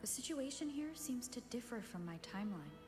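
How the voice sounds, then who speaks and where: A woman voicing a thoughtful, observant young heroine in a video game, in a measured, hushed, reflective inner monologue. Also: on the high side, expressive, close to an everyday voice. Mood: analytical. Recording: game music behind the voice.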